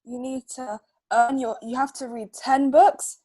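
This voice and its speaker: A woman's voice: sits high, fairly even intonation, a little slow, mellow. A woman chatting casually with a child as a reading helper and reading a children's storybook aloud. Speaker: adult reading tutor helping a child.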